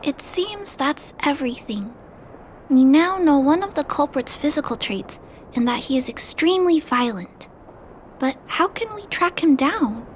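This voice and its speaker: A tiny sweet careful kid from a video game, really high n unhurried, earnest, music behind. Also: female voice, animated, slight background noise. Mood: thoughtful, puzzled. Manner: gentle, careful, thoughtful. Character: earnest, polite young girl helping investigate a case. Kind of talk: animated video game voice acting.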